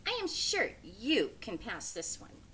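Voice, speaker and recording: A woman voicing an excitable, encouraging little female mouse in a storybook, with an emphatic manner. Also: measured pace, a little hiss or hum. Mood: cheering. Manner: shrill when alarmed, small desperate voice, emphatic. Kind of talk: storybook read-aloud character.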